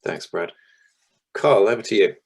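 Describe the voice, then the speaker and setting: Croaky deep-voiced man hosting a webinar. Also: animated, measured pace. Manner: hesitant, with frequent ums. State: hoarse, losing voice. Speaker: webinar host. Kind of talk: hosting a video-call panel. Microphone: video call.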